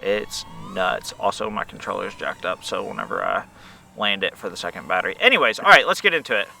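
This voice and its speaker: An upbeat man hosts a casual, joking interview while flying a drone. His tone is bright and lively. He is outdoors at a park, with faint noise behind him. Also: fairly low pitch, a little fast, creaky. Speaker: host.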